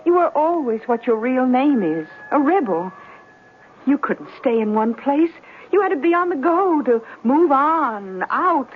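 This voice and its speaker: Gentle, tinny old-radio heroine. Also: female voice, high voice, very animated, unhurried. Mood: tenderly firm. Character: tender, firm remembered female sweetheart; polite bus passenger. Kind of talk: 1970s radio drama acting. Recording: tinny, faintly noisy 1970s radio recording.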